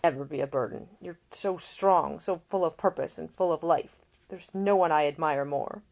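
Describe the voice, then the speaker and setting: A woman's voice: low-pitched, moderate pace, creaky, darkish tone, low-quality recording. A woman voicing an ailing, fiercely protective man in love, emotional and insistent, as audiobook character dialogue. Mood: earnest, tender.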